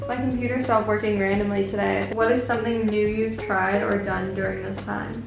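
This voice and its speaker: A woman's voice: medium pitch, steady tone, a little fast, vocal fry, reverberant, over music. A young woman from the Bahamas reading out questions in a casual chat, giggly and bubbly. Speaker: vlogger's girlfriend, asking questions. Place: sunny room at home.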